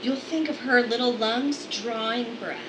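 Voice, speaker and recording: A cool older aunt talks calmly and slowly, full of quiet menace, voiced by a woman. It's an amateur radio play. The room is echoey and the hiss is clear. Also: a little high, lightly acted. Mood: menacing. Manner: calm, slow, threatening.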